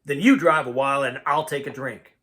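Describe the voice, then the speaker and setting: A man's voice: mid-range voice, brisk, fairly bright tone, natural voice. A man reading a storybook part as a domineering, scornful, impatient rich man, curt and sneering.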